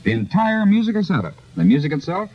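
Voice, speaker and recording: Animated man on dull 1930s radio. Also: high voice, fast talker. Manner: formal, salesman-like, elaborate phrasing. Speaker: radio producer promoting a show. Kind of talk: scripted 1930s radio promotional interview.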